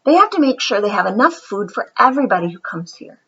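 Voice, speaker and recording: A woman voicing a gentle, anxious mother in a children's storybook, speaking carefully. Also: medium pitch, very animated, quick pace, breathy edge, lo-fi sound, exaggerated voice.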